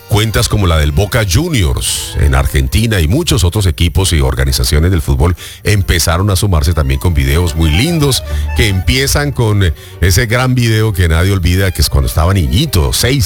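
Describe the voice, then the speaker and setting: A man with a very low voice that still swings widely in pitch as he co-hosts a news segment. He talks in a lively, chatty way with small hesitations, over a clear music bed. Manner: expressive, animated delivery with filler pauses. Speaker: news co-host. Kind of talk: conversational sports news segment.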